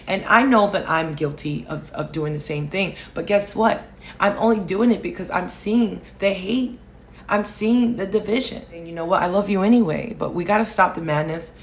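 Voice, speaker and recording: A woman from Alaska, raised in Hawaii, hosting political commentary, talking loudly and emphatically and answering viewer comments. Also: fairly low pitch, normal speed, a little hiss or hum. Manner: loud, emphatic, rising to near shouting on key points.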